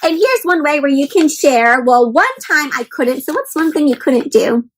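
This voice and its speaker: A woman's voice: sits high, moderate pace, slight background noise. A woman hosting an interactive children's picture-book read-aloud, speaking expressively and encouragingly in simple phrases and putting questions to her young listeners.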